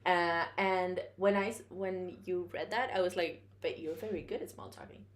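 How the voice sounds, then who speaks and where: A woman's voice: lowish voice, fairly even intonation, moderate pace, a little hiss or hum. A woman co-hosting a casual chat, laughing often and chiming in with short replies. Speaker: podcast co-host. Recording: echoey room.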